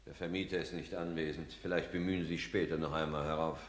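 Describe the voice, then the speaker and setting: An actor playing a pompous, self-absorbed poet who declaims in grandiloquent phrases, in the manner of a 1960s German radio play. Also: male voice, a bass voice, fairly even intonation, fast, slight background noise. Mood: stiff, evasive. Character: pompous, rapturous male poet lodger, high-flown and self-absorbed.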